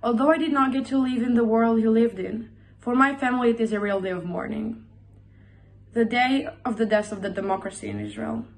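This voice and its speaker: Teenage female, fairly high pitch, student, prepared reflection, bedroom recording. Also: steady tone, brisk. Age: teens. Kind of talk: prepared personal reflection speech. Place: indoors in a bedroom.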